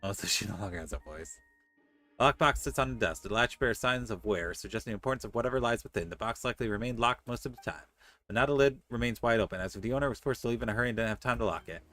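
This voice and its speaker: Fast-talking man streaming a video game. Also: lowish voice. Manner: joking asides between read passages. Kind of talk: reading game text aloud with casual commentary. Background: soft game music.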